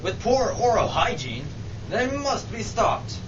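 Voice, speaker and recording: A man's voice: high-pitched, big pitch swings, slow, full character voice. A man playing a pompous, earnest superhero in an amateur old-time radio spoof, declaiming melodramatically. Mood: melodramatic alarm. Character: pompous, earnest male toothbrush-themed superhero. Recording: muffled, noisy, distant room mic.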